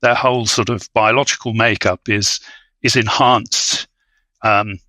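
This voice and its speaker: A man speaking as an academic guest, discussing literature in halting, erudite phrases with repeated words. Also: on the low side, fairly even intonation, pushed and bright, no room sound, poor audio. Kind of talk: scholarly interview discussion.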